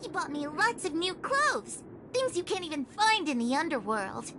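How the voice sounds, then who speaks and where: High, lively bragging child. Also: female voice, normal speed, background noise. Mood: smug bragging. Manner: bragging, taunting. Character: boastful little child showing off gifts. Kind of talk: video game voice acting.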